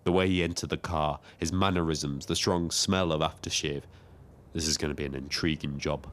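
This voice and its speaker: A man with a British accent playing a lonely, watchful taxi driver who narrates an audio drama in a brooding, measured way. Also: very deep voice, fairly even intonation. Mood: brooding, observant. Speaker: narrator and lead character. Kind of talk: scripted first-person audio drama narration.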